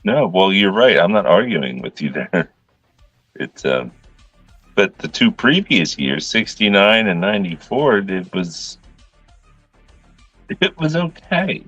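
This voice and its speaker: A man's voice: sits low, very animated, takes it slowly, pauses often, fairly bright tone, breathy edge, no room sound, faint music behind. An easygoing man co-hosting a live talk show in casual chat, on a dull lo-fi mic.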